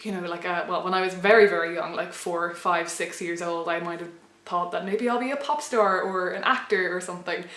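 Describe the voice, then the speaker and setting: A woman's voice: on the low side, steady tone, fairly quick, fairly bright tone. A young Irish woman telling a personal story to camera in a reflective, rambling way, often restarting her sentences. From Ireland. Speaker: pagan blogger and vlogger. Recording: roomy echo.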